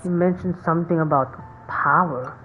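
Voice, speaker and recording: Female game streamer, lowish voice, casual musing commentary, dull lo-fi thin mic, music behind. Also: normal speed. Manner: musing aloud, reacting in short remarks. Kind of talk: casual let's-play commentary.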